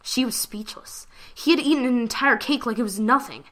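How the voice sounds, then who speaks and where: A woman giving a dramatic reading of a fan fiction story, with expressive delivery. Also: a little high, fairly quick.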